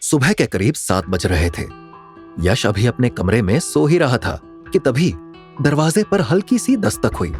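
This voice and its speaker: A man's voice: medium pitch, very animated, a little slow, a little mellow, soft background music. A man narrating a scripted audio drama in a dramatic storytelling style.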